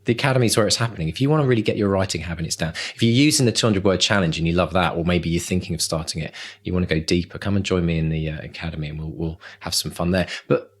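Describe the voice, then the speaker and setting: A man's voice: sits low, very fast. A man chatting casually as a podcast co-host and coach, effusive, enthusiastic and encouraging. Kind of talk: casual chat.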